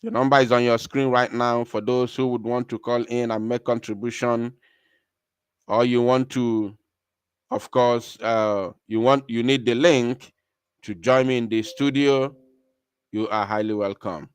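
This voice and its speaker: A man's voice: mid-range voice, steady tone, a little slow, smooth, no room sound. A man with a Nigerian accent hosting a live political call-in broadcast, speaking emphatically and repeating phrases for stress.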